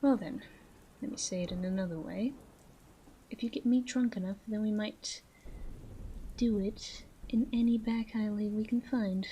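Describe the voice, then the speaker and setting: A woman's voice: medium pitch, mellow, slight background noise, close to an everyday voice, rough recording. A woman playing a flirty, self-assured female mafia boss in a roleplay audio drama, coy and teasing as she speaks straight to the listener. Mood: suggestive, hushed. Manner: coy, teasing, drawn-out phrasing with breathy asides.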